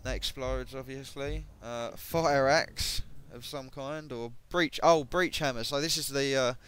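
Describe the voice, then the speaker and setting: A man giving casual, hesitant commentary on game equipment, his voice a bit croaky, with many ums and self-corrections. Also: medium pitch, steady tone, unhurried, fairly bright tone. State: voice going croaky. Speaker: gaming video creator.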